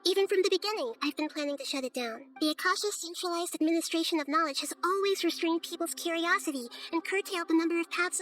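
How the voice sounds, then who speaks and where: A woman voicing a gentle, wise childlike girl deity with measured, formal, earnest speech in a game cutscene. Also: extremely high pitch. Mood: thoughtful, composed. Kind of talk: scripted game cutscene acting.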